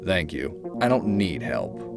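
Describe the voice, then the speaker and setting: A man playing a cold, brooding warrior hero who narrates and speaks tersely in an animated fantasy. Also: low-pitched, takes it slowly, creaky, reverberant, heavily acted. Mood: aloof. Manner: terse, stern, deadpan. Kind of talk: dramatic animated narration and dialogue. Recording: music behind the voice.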